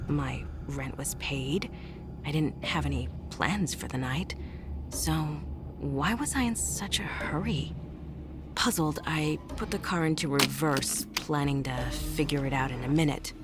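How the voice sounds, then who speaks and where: A woman acts out a haunted young-sounding storyteller in a horror audio drama, her voice pitched low. She tells her story as someone jittery and afraid, with faint music underneath. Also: a little slow. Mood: uneasy. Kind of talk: scripted first-person horror narration.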